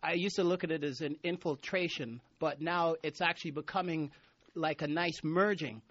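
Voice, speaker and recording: A man's voice: fairly high pitch, moderate pace, a bit bright, lo-fi sound. A man hosting a casual radio interview, teasing and playful, laughing often. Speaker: radio host.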